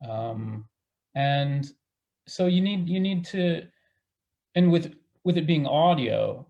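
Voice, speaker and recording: A man speaking as a theater director in a panel discussion, halting and thinking aloud as he goes. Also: mid-range voice, vocal fry, a little mellow, highs cut off. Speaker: artistic director of a radio play company. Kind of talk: panel discussion, casual talk.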